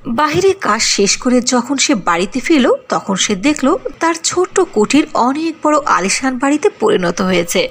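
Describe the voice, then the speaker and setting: A woman's voice: high voice, big pitch swings, normal speed, a little hiss or hum. A woman narrating a cartoon fairy tale in a sing-song storytelling manner.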